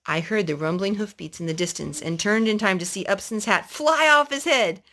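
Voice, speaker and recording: A woman's voice: medium pitch, normal speed, bright, forceful tone. A woman storyteller reading a historical children's story aloud to a young listener.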